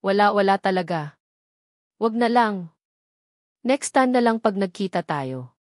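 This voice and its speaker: A woman's voice: medium pitch, animated, measured pace, dry recording, clean studio sound, close to an everyday voice. A woman voicing a troubled young woman who hesitantly hides distress behind forced lightness in a dramatic story. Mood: forced cheer.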